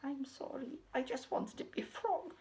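A woman voicing a wistful, timid young female squirrel puppet with a meek, apologetic, breathy delivery. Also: high-pitched, moderate pace, mellow, pitched above the performer's own voice, natural voice. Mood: pleading, sheepish.

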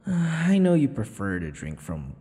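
A man playing a possessive, teasing vampire lover in an ASMR audio roleplay, smug and menacing, murmuring and slipping into breathy whispers. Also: wildly swooping pitch, warm tone, big-room sound. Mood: doting, possessive. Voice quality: low, gravelly. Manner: slow, breathy murmurs dropping into whispers, mocking endearments.

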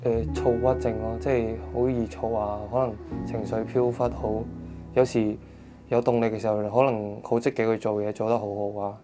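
A man's voice: lowish voice, little pitch movement, normal speed, over music. A man, a patient giving a candid personal testimony in short bursts. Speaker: patient in a support program.

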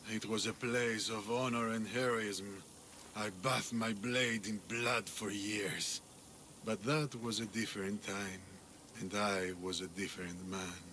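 A proud, battle-worn arena champion from a video game. His voice is fairly low and forceful, with a grave, declamatory delivery. Faint ambience sits behind it. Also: steady tone, a little slow, slight background noise. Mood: solemn, nostalgic. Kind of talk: video game character acting.

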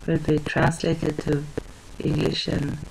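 Young woman vlogging from her living room; slow, halting, monotone, with a gravelly fry. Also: low voice, a little hiss or hum, poor audio. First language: Swedish. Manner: hesitant, halting, many fillers and restarts. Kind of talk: casual talk to camera. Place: small living room at home, indoors. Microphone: webcam at desk distance.

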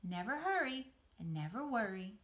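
A woman's voice: mid-range voice, big pitch swings, moderate pace, fairly bright tone. A woman voicing a wise female spider from a storybook, comforting and motherly, giving firm, reassuring instruction.

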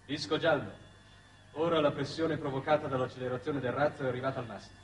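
A man's voice: medium pitch, fairly even intonation, fast talker, natural voice. An actor in his thirties playing a formal, deferential ship's doctor in a 1960s television drama, with courteous, precise diction. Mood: clipped, businesslike. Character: formal, deferential male doctor aboard a spaceship. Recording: noisy old 1960s television soundtrack.

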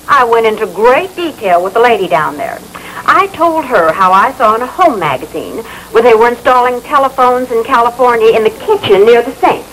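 An American actress playing a fussy, sharp-tongued housewife customer, haughty and scolding in an old training film. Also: female voice, fairly high pitch. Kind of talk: 1960s training film acting. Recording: noisy old film soundtrack.

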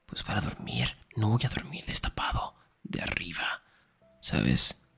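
A young man performing an intimate ASMR roleplay as a teasing, affectionate best friend, murmuring in a breathy, husky whisper. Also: deep voice, big pitch swings, measured pace, sharp tone, faint music behind.

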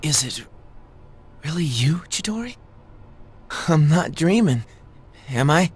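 An easygoing slangy teen boy from a video game, talks slow, pitch all over the place. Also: sing-song, husky, faint music behind, heavily acted. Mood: hesitant, overwhelmed. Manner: casual, slangy. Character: slangy, easygoing, emotional teenage high school boy. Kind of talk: scripted game voice acting.

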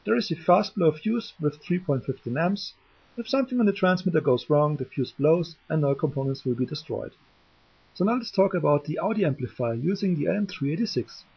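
Hobbyist tutorial presenter: man, husky and gravelly voice, lo-fi sound. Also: fairly high pitch. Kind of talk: technical tutorial explanation.

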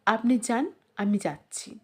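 A woman's voice: a little high, measured pace, mellow, husky. A woman narrator voicing a grave, detached male doctor in an audio story, curt but kind. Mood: reserved, reassuring. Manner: curt, impassive.

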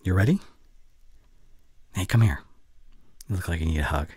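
Husky, low-voiced anxious young boyfriend, acted by a man. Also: moderate pace, no echo, clean studio sound. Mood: tender, nervous. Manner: gentle, soft-spoken, reassuring, often breathy whispering. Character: young anxious but tender boyfriend facing fatherhood. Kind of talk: intimate ASMR boyfriend roleplay.

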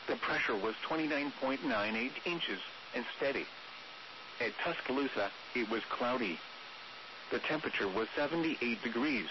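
Muffled, noisy male weather radio announcer. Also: mid-range voice. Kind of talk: scripted weather forecast and observations readout. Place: weather radio broadcast played through a streaming app.

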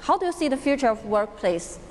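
A woman's voice: sits high, big pitch swings, brisk, slight background noise. A woman moderating a conference panel, introducing the next speaker politely and with some hesitation. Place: large conference hall. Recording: reverberant hall.

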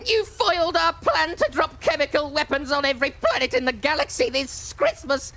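A man voicing a villainous male elf leader in a live radio play, theatrical and given to shouted curses. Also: extremely high pitch, lively intonation, moderate pace, creaky, heavily acted. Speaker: voice actor in a charity-stream radio play.

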